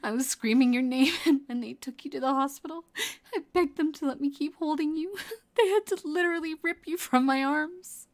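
A woman playing a guilt-ridden, devoted and dangerous lover in an intimate roleplay audio drama, with a breathy, emotional delivery. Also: sits high, a little fast. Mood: shaken, relieved. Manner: breathy, emotional delivery sliding between sobs and whispers. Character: devoted, guilt-ridden female psychopath lover, tearful and clingy.

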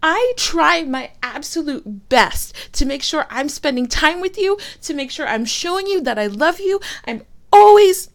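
A woman's voice: high voice, lively intonation, normal speed, few pauses, fairly bright tone. A young woman voicing a frustrated girlfriend who swears in exasperated outbursts while fighting with her partner. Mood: angry. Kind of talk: one-sided roleplay audio.

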